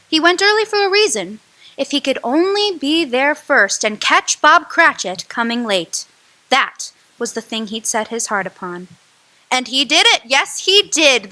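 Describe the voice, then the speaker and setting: Slow, bright woman storyteller. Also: high-pitched. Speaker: narrator. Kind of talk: radio drama narration.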